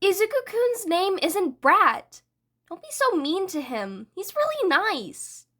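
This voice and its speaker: A giddy teenage villain girl, as a woman reader puts her on, pitched up sharply and pouting. She sounds clingy and sweet in a bright, slow fan audiobook reading. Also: sing-song, full character voice.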